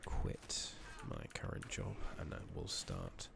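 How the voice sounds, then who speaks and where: A soft-spoken man, partly whispering in an ASMR game playthrough style, speaking haltingly with long pauses into a close microphone. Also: very deep voice, creaky. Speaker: game streamer, ASMR host. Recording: steady noise, keyboard typing and clicking.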